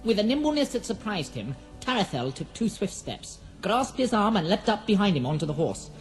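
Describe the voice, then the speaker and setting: A fantasy storyteller with an expressive, widely moving voice delivers poetic scripted narration. Faint music runs underneath the dramatic reading. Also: mid-range voice, fairly quick.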